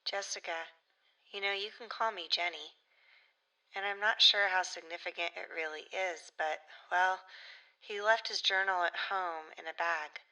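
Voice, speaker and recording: A middle-aged mother with a slightly low, clearly bright voice. She is hesitant, earnest and worried, delivered naturally as in a radio drama. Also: steady tone, normal speed, poor audio. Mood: anxious. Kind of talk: naturalistic audio drama acting.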